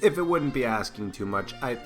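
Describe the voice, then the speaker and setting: A man's voice: medium pitch, lively intonation, faint music behind, close to an everyday voice. An actor playing a shy, awkward farmer in his sixties who stammers and halts through every sentence in an audiobook drama. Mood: flustered. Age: 60s. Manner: stammering, hesitant, halting. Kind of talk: full-cast audiobook acting.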